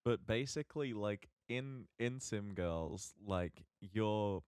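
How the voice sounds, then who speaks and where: Low voiced guy podcasting, slow and halting, really dry close sound. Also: animated, a little mellow, clean tone, dry recording. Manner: halting, searching for words. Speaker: podcast co-host. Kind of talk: casual podcast chat.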